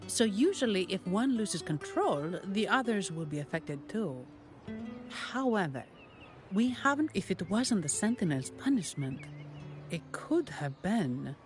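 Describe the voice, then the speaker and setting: An actress playing a level-headed investigator in a video game, explaining things in a composed, matter-of-fact way. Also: female voice, mid-range voice, sing-song, measured pace, soft background music. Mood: composed, thoughtful. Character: level-headed female investigator guiding a foreign guest.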